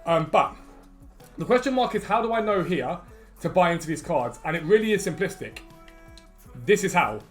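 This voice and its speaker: A man talks fast and casually through a gaming tutorial, his voice a little worn and hoarse. He sits fairly high in pitch and rattles off numbers in quick runs. Light background music plays softly under him. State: losing his voice. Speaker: gaming video creator. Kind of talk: screen-recorded gaming tutorial.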